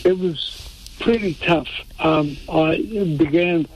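An older man from Italy, a lawyer answering interview questions in a halting way, full of fillers and restarts. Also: on the high side, steady tone, darkish tone, poor audio. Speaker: interview guest, defence lawyer.

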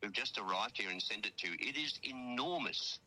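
Chatty Australian man, bright voice. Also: medium pitch, expressive, a little fast. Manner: chatty, rambling, many fillers and restarts. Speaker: radio correspondent. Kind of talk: casual chat on a phone link. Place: outdoors at a memorial hall abroad.

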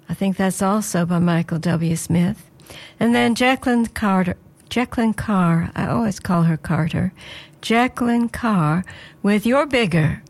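Woman, radio presenter, slightly hoarse, earnest devotional chat, medium pitch. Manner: earnest, prayerful, conversational.

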